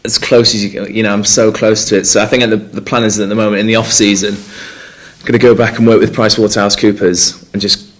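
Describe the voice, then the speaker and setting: A man's voice: lowish voice, little pitch movement, fast. A young man from North Wales, a rugby player, answering press conference questions with lots of fillers and restarts. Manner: hesitant, many fillers and restarts.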